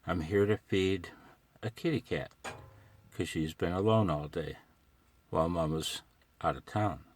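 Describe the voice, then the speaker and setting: A man's voice: sits low, measured pace. An older American man rambling casually to himself on a vlog from inside a parked truck, with a wry, folksy manner. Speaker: amateur radio hobbyist vlogger.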